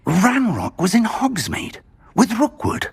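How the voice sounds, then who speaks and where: An actor playing an older wizard professor, earnest and excitable, in a fantasy game. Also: male voice, sits high, takes it slowly, husky, exaggerated voice. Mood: alarmed.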